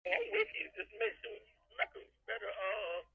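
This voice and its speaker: A woman's voice: mid-range voice, wildly swooping pitch, measured pace, husky, no echo. A woman working retail, talking casually through a walkie-talkie radio. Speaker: retail store worker. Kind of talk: casual two-way radio exchange. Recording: muffled, lo-fi walkie-talkie transmission.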